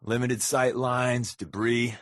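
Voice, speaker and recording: An American man playing a wisecracking, sly undercover agent in a video game, talking in casual quips with a deadpan, sardonic manner. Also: mid-range voice, slow, no echo, studio quality. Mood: dry, playful. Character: wisecracking, sly adult male undercover agent, habitual fibber. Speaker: companion character in a video game.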